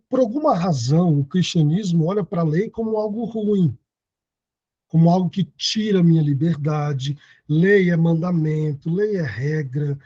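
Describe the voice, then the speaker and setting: A man in his thirties teaching an online Bible lesson, reading verses aloud and then unpacking them. His voice sits fairly high, with a mellow, husky tone. The audio is dry and dull, like a lo-fi video call. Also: no echo. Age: 30s. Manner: explanatory, reads scripture aloud and comments.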